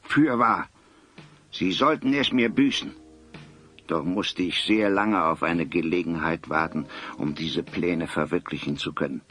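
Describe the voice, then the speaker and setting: A man's voice: deep voice, a little slow, sharp tone, soft background music. A man acting an embittered, vengeful old craftsman in an audio drama, with formal, old-fashioned wording and a theatrical delivery. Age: older. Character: embittered old stonemason, vengeful outcast grandfather.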